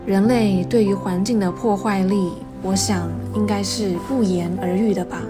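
A woman reading a calm, earnest scripted voice-over for a travel video. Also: mid-range voice, moderate pace. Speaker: narrator. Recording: voice-over over loud background music.

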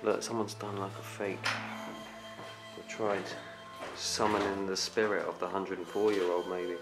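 Urban explorer, low-voiced, excited, music under him. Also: male voice, measured pace. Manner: excited, exclamatory. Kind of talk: casual exploring commentary. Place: abandoned house indoors. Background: background music.

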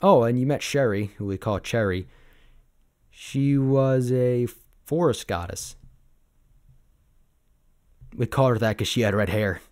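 A man playing an easygoing, sentimental sabertooth boyfriend in an ASMR roleplay, reminiscing in a casual, gently hesitant way. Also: mid-range voice, measured pace, long pauses, natural voice. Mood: fond, nostalgic.

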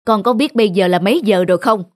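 A man's voice: high-pitched, fast, no echo, clean studio sound, lightly acted. A man playing a stern, middle-aged father in a cartoon, scolding with authority.

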